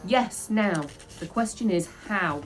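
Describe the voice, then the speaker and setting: A woman voicing a bossy but doting old nanny in a children's storybook, brisk and coaxing. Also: mid-range voice, animated, faint music behind. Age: older.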